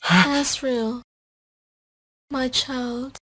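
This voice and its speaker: A woman playing a gentle, worried motherly monster queen in an amateur comic dub, speaking with maternal care. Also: sits high, fairly even intonation, extremely slow, slightly rough, exaggerated voice. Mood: gentle pleading.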